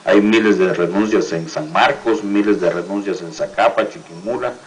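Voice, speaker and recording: A man with a Guatemalan accent, giving political commentary as a union representative, often halting and repeating words. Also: sits low, low-quality recording. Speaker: teachers' union representative, interview guest.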